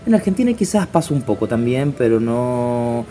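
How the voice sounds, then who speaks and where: A man in his thirties from Chile, a circus artist, answering interview questions in a casual, halting way with many fillers and restarts. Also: mid-range voice, big pitch swings, mellow, faint music behind. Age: 30s.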